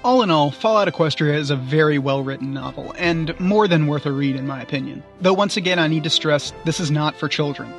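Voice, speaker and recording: Higher-pitched man reviewing over music. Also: brisk, few pauses. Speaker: guest reviewer. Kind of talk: scripted review commentary.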